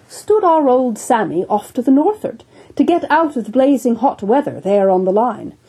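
A woman's voice: a little high, expressive, normal speed, warm tone, husky, voice pushed up, heavily acted. A woman voicing a sober, formal English ship's surgeon in a storybook reading. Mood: measured. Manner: formal, unruffled, precise.

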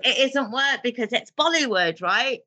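A woman talks in a casual interview, bright and forceful in tone. She tells lively stories and laughs easily as she goes. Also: a little high, moderate pace, slight background noise. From Britain, raised in a Pakistani family. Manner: animated, storytelling, laughs easily. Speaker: guest, television drama writer.

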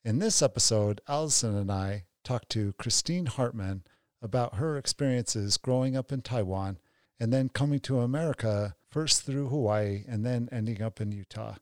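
A man's voice: medium pitch, normal speed, no room sound, studio quality. A man in his forties, co-hosting a podcast and asking questions with enthusiastic restarts. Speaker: podcast co-host. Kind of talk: casual interview.

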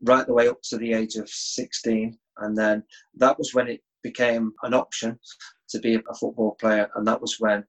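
Middle-aged man, easygoing interview guest on a video call, mid pitch. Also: moderate pace, poor audio. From England. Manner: relaxed, easygoing with chuckles and restarts. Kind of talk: casual interview chat.

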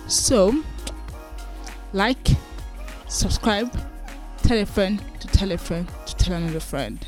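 A woman's voice: mid-range voice, animated, slow pace, darkish tone. A woman hosts a casual podcast chat. She talks in a loose, friendly way and laughs easily. Faint music sits under the opening. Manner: chatty, laughing, loose and informal. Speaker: podcast host.

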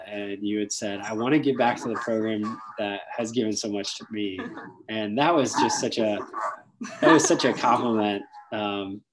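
A woman's voice: rumbling low, a little mellow, a little hiss or hum, poor audio. A woman hosting an interview in a conversational, encouraging and appreciative manner. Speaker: interviewer, program host.

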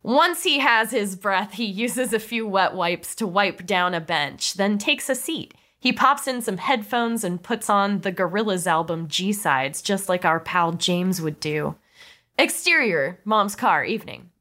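A woman's voice: medium pitch, lively intonation, a little slow, sharp tone. A woman narrating a comedy script table read playfully, with casual, amused asides.

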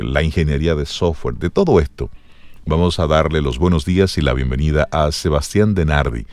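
A deep-voiced man hosts a morning radio show. He talks with lively swings of pitch and pushes up on the words he wants to stress. His voice is close and dry, with no room sound. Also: dry recording.

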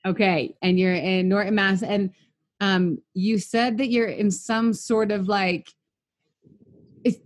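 A woman's voice: medium pitch, fairly even intonation. Chatty woman in her thirties interviewing. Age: 30s. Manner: chatty, upbeat, quick follow-up questions. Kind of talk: casual interview.

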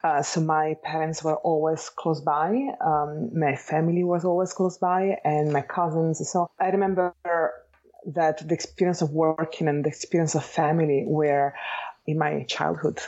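A woman with an Italian accent speaking thoughtfully and in measured phrases as an interview guest, reflecting on her own life. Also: low voice, level tone, dark tone, muffled recording. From Italy. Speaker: interview guest, architect and educator.

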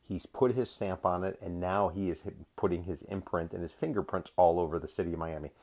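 A man's voice: low-pitched, fairly even intonation, brisk, darkish tone, no room sound, studio quality. A man hosting an interview podcast in a casual, chatty, informal way with emphatic delivery. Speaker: podcast host.

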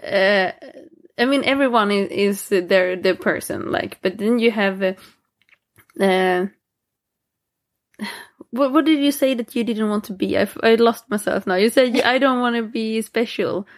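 A woman's voice: mid-range voice, measured pace. A woman from Sweden with a Swedish accent in casual conversation, speaking hesitantly with many restarts and fillers.

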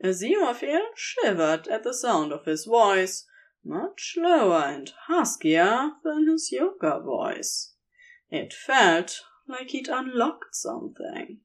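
Slow, expressive woman narrator. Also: medium pitch. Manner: slow, measured, expressive reading. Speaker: audiobook-style podfic narrator. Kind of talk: scripted fiction narration, intimate storytelling.

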